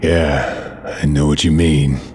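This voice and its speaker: An actor playing a gruff, dry-humored middle-aged male mutant soldier in an audio drama. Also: a bass voice, little pitch movement, slow pace, a little mellow, slight background noise.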